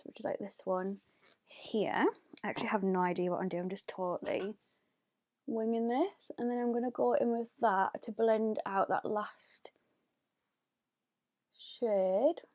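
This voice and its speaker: A woman, chatting through a makeup tutorial at home, often dropping to a hushed near-whisper. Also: mid-range voice, no echo, clean studio sound. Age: 20s. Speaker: beauty YouTuber. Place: home, indoors. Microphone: close mic.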